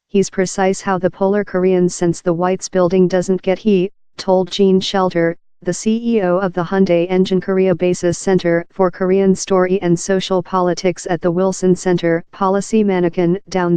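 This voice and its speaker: A woman's voice: lowish voice, dark tone, smooth, no room sound. A woman narrating scripted news in a stiff, mechanical reading manner.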